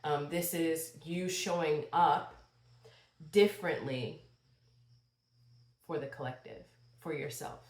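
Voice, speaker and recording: A woman with a low voice reads tarot cards for her online viewers. She talks slowly in a spiritual tone, a little stuffy from a cold. The room has a noticeable echo. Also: darkish tone. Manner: slow, spiritual, addresses viewers directly. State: slight cold, sniffling. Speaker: tarot reader. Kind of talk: channeled tarot reading. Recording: echoey room.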